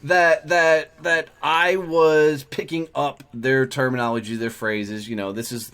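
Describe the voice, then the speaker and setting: Blunt, cussing host. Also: male voice, fairly high pitch, level tone, a little slow, fairly bright tone, clear, resonant tone. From Texas, USA. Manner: blunt, swears freely. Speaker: podcast host. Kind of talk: casual conversation.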